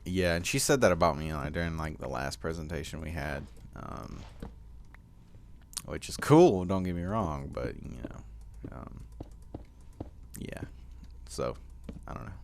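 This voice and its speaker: Teen dude w a real deep low voice, chatty commentary, lotta ums, little hiss n clicks behind. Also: male voice, lively intonation, measured pace, long pauses. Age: teens. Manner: rambling, filler-heavy, laughs often. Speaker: gaming commentator. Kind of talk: casual gameplay commentary.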